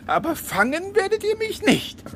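An actor playing a gloating, crazed diamond thief with theatrical delivery in a children's radio play. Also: male voice, very high-pitched, wildly swooping pitch, normal speed, a bit bright, a little hiss or hum, full character voice. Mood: gloating, manic. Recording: music under the voice.

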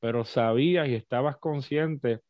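A man in his thirties, a pastor hosting an interview over a headset, asking long, measured, churchly questions. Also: medium pitch, no echo. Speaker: pastor and host. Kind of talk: interview over video call. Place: home office with bookshelves. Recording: dry, lo-fi video call audio.

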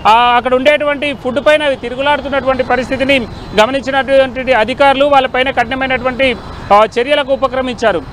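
A middle-aged man giving a halting live news report into a handheld microphone outdoors. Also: very high voice, steady tone, a bit bright. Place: busy city roadside, outdoors. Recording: faint outdoor street noise. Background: faint traffic.

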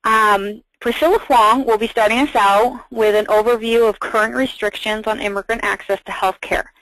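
A woman's voice: a little high, steady tone, normal speed, fairly bright tone, no room sound, poor audio. A woman moderating a webinar, reading housekeeping notes and speaker introductions in a careful, scripted way with small restarts.

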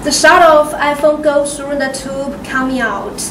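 A woman with a fairly high voice presents a product demo in halting, non-native phrasing. The recording is roomy and somewhat distant from the mic, with clear background noise. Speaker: product demonstrator.

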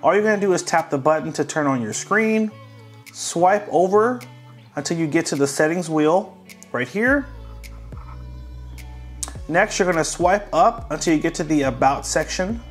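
A man hosting a tech tutorial, calmly narrating each step of a device reset in a casual, friendly way. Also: a little high, normal speed, long pauses, faint music behind. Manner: friendly, relaxed, walks viewers through each step.

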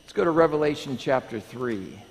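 A man in his thirties, a pastor, preaching in a lively, animated way and questioning his congregation. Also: medium pitch, brisk, creaky. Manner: animated, pacing the stage, asking the congregation. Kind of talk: lively sermon with prayer. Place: large church sanctuary. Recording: long church hall reverb.

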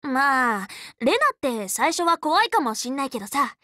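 A woman's voice: sits high, big pitch swings, moderate pace, sharp tone, dry recording, studio quality, heavily acted. A woman playing an upbeat, loyal, tomboyish teenage magical girl in an anime game, speaking in a casual, frank, boyish way. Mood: fond. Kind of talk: anime game character voice lines.

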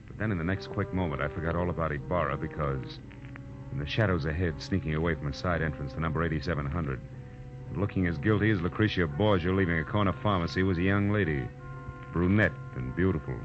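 Wry, world-weary male gumshoe, acted by a man, very low voice, muffled old-time radio sound with music. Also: quick pace, lightly acted. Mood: wry narration. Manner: wry, sardonic. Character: wry, world-weary male private detective, first-person narrator. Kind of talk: 1940s radio detective acting and narration.

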